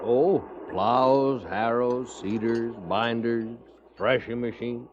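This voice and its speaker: A man's voice: medium pitch, steady tone, slow pace, lightly acted. An actor playing an opinionated, confident traveling salesman with an American accent, emphatic and blunt, in an old-time radio Western. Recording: muffled, noisy old radio recording.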